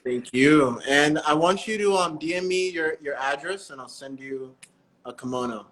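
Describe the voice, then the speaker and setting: A man hosting a breathwork live stream, chatting in a relaxed, friendly way with callers and often correcting himself mid-sentence. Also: a little high, normal speed, rough recording.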